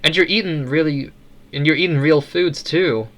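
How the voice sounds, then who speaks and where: A man's voice: fairly high pitch, normal speed, fairly bright tone, natural voice. Man reading as chatty, caring mother. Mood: caring, approving. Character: attentive, approving mother of a teenage girl.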